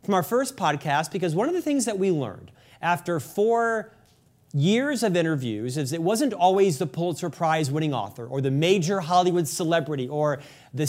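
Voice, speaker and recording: A man's voice: on the high side, a little mellow. A man hosting an interview podcast, speaking in a polished, formal and wordy presenter style. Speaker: podcast host. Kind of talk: scripted podcast introduction and interview questions.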